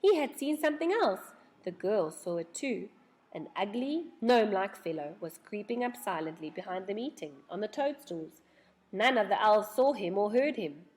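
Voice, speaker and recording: A woman narrates a children's book with a very lively, swooping delivery. Her voice sits at an everyday female pitch, and she tells the tale with dramatic expression. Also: normal speed. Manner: animated, dramatic storytelling. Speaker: storyteller reading a children's book aloud.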